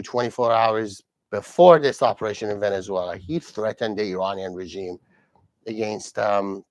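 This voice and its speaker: A man's voice: on the low side, a little mellow, dry recording. A man born and raised in Iran, hosting a solo live commentary in English, earnest and repeating himself, with many fillers and restarts. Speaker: host.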